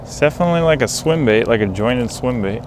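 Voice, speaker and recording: Man, thirties, relaxed chatty fishing vlogger, outdoors, action cam audio, mid pitch. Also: a little fast. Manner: relaxed, talks to camera, excited when landing a fish. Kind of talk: casual narration while fishing. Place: outdoors by a canal on rocks. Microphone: action camera. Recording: outdoor camera audio with background noise.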